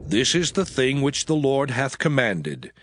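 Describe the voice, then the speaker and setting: A man narrating scripture in a solemn, expressive scripted reading. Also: mid-range voice, a little slow, slight background noise. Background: music.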